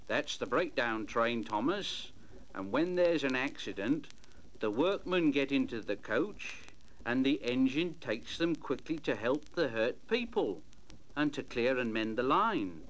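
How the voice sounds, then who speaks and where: A man voicing a patient male engine driver in a storybook, steady and reassuring. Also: mid-range voice, measured pace. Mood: explaining. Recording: noisy old storybook LP.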